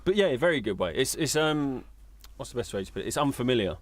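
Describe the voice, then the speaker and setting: A man talks as a podcast co-host in an easy, informal chat. His pitch sits in the middle range for a man, and he speaks conversationally, as if telling friends a story. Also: very animated, fast talker, no room sound.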